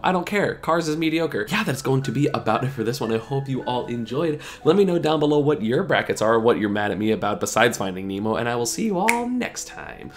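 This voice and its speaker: Gravelly young man, casual and rambling. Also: mid-range voice. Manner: rambling, filler-heavy, chatty. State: sniffly, slightly sick. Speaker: gaming YouTuber. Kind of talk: casual opinion vlog.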